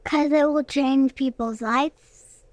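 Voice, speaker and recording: A woman's voice: high-pitched, steady tone, slow, dry recording. A young girl giving simple, earnest answers as a guest in a casual interview. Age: child. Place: studio.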